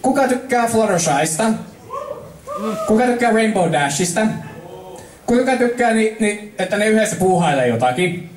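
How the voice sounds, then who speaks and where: A man's voice: sits high, animated, brisk, pauses often, crowd noise. A man with a Finnish accent, a native Finnish speaker, reading fan fiction aloud as an amateur. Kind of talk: story reading to a small group. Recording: echoey room, distant mic.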